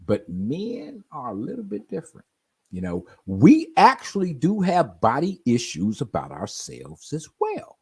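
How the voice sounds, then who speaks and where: A man's voice: fairly high pitch, wildly swooping pitch, a little slow, gravelly, a little mellow, breathy edge. A man in his forties telling stories in casual chat, often asking "you know what I mean?". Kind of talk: casual chat on a live stream.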